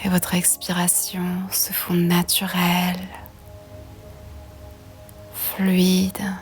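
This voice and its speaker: Female meditation guide, hushed and breathy, very even low-set delivery, gentle and soothing. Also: extremely slow, faint music behind. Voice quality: soft, breathy, half-whispered. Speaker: yoga nidra guide.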